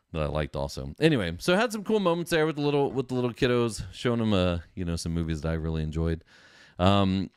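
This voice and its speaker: A middle-aged American man with a low voice and a dry, close sound. He chats informally as a podcast host, enthusiastic and full of casual slang. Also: darkish tone, no room sound. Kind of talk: casual solo livestream chat.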